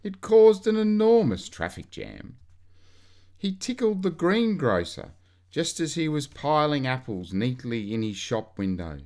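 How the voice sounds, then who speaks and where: Slow, animated male children's storyteller. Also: on the high side. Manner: expressive, drawn-out emphasis on key words. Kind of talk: scripted read-aloud of a children's storybook.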